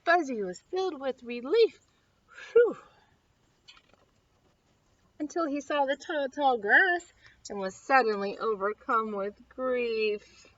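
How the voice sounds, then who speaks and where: A woman reading a children's picture book aloud expressively, with dramatic, drawn-out emphasis on key words. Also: fairly high pitch, fairly bright tone, dry recording. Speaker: story time reader. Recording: dull lo-fi sound.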